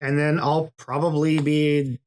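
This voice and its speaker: A man's voice: on the high side, level tone, measured pace, darkish tone. A man who is a guest on a casual podcast, talking thoughtfully and hesitantly with many ums. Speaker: podcast guest, YouTube creator.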